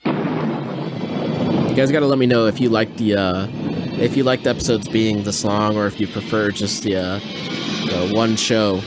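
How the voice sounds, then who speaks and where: Low-voiced man chatting casually over loud music. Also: steady tone, unhurried, pauses often. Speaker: podcast host reacting to old radio plays. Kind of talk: casual chat, off-the-cuff commentary.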